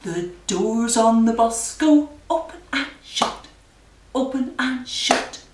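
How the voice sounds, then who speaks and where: A woman's voice: a little high, lively intonation, slow pace, background noise. A woman leading a nursery rhyme singalong for small children, giving simple instructions and encouragement. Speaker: library story time leader. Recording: echoey room, distant microphone.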